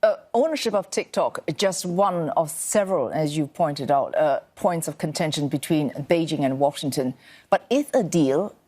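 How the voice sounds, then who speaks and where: A man's voice: fairly high pitch, expressive, normal speed, a little mellow. A man anchoring the news in a formal broadcast delivery, handing over to reporters. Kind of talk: news presenting and interviewing.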